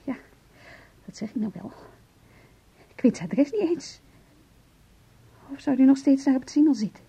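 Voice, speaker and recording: A woman playing a bitter estranged wife in a radio drama, husky, clipped and sarcastic, holding back her emotions. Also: high voice, expressive, moderate pace, mellow, full character voice. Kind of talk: Dutch radio drama acting.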